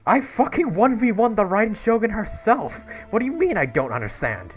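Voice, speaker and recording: Gamer dude trash-talking npcs, swears a lot, voice all over the place. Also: male voice, sits high, very animated, moderate pace, faint music behind. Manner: profane, mocking, laughs a lot. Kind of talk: casual gameplay commentary.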